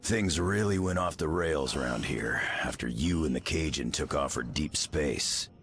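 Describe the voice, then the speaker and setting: An actor playing a gruff, world-weary, clawed superhero with a gravelly voice in a video game. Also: male voice, rumbling low, moderate pace, fairly bright tone, soft background music. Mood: gruff, caring.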